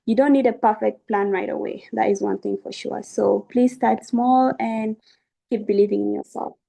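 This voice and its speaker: Young woman, creaky and soft-toned, earnest student presenter encouraging her listeners. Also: mid-range voice, fairly even intonation, normal speed. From South Sudan. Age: young adult. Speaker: student webinar speaker. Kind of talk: informal educational presentation.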